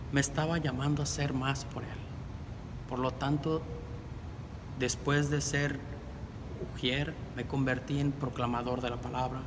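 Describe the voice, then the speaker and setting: Earnest middle-aged man, reflective testimony, long reverb, loud rumbling noise behind. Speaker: deacon candidate. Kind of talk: personal faith testimony.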